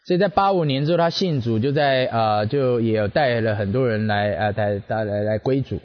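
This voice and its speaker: A man hosting a radio interview, relaying each of the guest's answers at a measured pace with frequent filler pauses. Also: mid-range voice, lo-fi sound.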